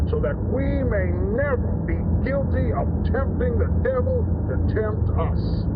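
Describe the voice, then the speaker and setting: A man playing a grave preacher, delivering a solemn, formal sermon of warning to believers. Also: sits high. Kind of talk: solemn radio-style sermon reading. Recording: noisy, tinny phone-like old broadcast.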